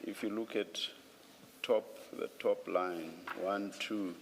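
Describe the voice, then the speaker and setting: Slow, gravelly, low-voiced man chairing a hearing. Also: fairly even intonation, a little hiss or hum. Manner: hesitant, repeats words while thinking aloud. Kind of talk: formal questioning from the bench. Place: hearing room.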